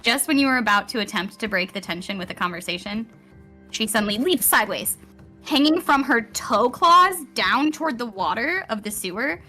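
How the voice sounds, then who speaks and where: Bright-voiced woman running a fantasy game. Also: mid-range voice. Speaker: game master. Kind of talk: narrating a live tabletop roleplaying game. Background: music.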